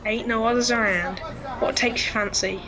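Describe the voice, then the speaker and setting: A woman's voice: a little high, steady tone, moderate pace, a crowd in the background, natural voice, poor audio. A woman playing a cheeky, streetwise young pickpocket boy with a London accent in an audio drama, cocky and friendly. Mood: cheeky, knowing.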